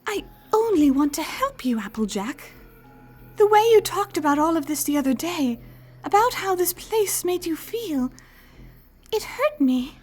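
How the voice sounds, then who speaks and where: A woman's voice: very high voice, big pitch swings, measured pace, darkish tone, heavily acted. A woman voicing a refined, caring female unicorn pony in a fan fiction audio drama, theatrical and affectionate in manner. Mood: tender concern.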